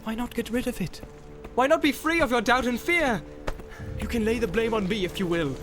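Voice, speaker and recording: A man voicing a proud, tall warrior who is grasping and quick to rage, in a booming, declamatory read. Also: sing-song, music in the background. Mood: coaxing. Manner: booming declamatory delivery, rising to shouts.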